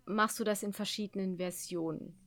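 A woman's voice: medium pitch, fairly even intonation, moderate pace, fairly bright tone. A woman hosting a casual radio interview, asking questions in a chatty, informal way.

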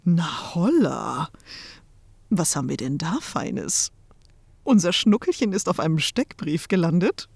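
A saucy, teasing female confidante from a comic audio play, acted by a woman. She coos and flirts with theatrical flair, her slightly husky voice swinging widely in pitch. The sound is close and dry. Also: very animated, unhurried, darkish tone, no echo, heavily acted. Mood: amused, mocking. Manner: playful, cooing, theatrical, uses pet names. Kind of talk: scripted comic audio drama.

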